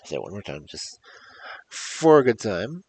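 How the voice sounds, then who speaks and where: Deep gravelly man telling stories theatrically. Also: big pitch swings, normal speed. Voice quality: gravelly, husky. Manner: theatrical, rhythmic emphatic delivery. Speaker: storyteller, show host. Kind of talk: animated performed fairy-tale reading with casual asides.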